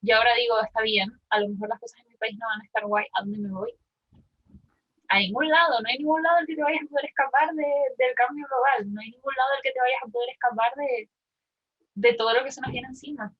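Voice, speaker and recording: Higher-pitched husky young woman from Argentina. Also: fairly even intonation, quick pace, highs cut off. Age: 20s. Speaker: participant in a group discussion. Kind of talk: casual, personal reflective talk.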